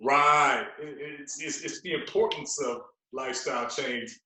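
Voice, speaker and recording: A man's voice: mid-range voice, a little slow, bright, forceful tone, far from the mic. A man talking casually as a podcast guest and fitness trainer, explaining things emphatically and repeating phrases to drive them home. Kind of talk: casual conversational interview talk. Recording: very echoey, roomy sound.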